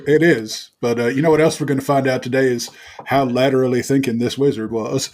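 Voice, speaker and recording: A man playing a tabletop role-playing game, bantering casually in a deliberate, wordy, playful way. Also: mid-range voice, steady tone, a little mellow.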